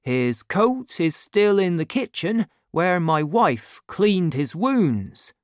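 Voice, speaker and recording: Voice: medium pitch, sing-song, slow, no echo, clean studio sound, pitched above the performer's own voice. A storybook narrator playing a servile male innkeeper, polite and eager to explain. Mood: deferential.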